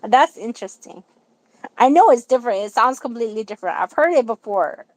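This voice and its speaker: Young woman, eager and chatty, a bit higher-pitched, dry close sound; casual livestream co-host. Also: expressive, fairly quick, a little mellow, no room sound, poor audio. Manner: chatty, eager, laughs often. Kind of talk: casual live chat.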